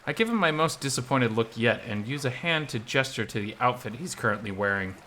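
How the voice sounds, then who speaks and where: A man's voice: mid-range voice, animated, fairly quick, sharp tone, slight background noise. A man narrating a visual novel aloud in the first person, reading expressively.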